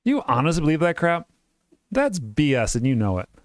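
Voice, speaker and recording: A man's voice: a little high, very animated, a little fast, dry recording, soft background music. A man voicing a weary, hot-tempered woman doctor, blunt and sardonic, in a visual novel game. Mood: indignant.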